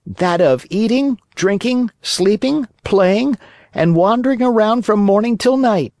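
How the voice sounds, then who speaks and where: An impudent wooden puppet boy from a storybook, talking back in a high, bouncy voice, as the narrator puts him on. His pitch swings widely, and the sound is close and dry. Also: expressive, unhurried, darkish tone, no echo. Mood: cheeky defiant. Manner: cheeky, impatient. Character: defiant wooden marionette boy, impudent and quick-tempered.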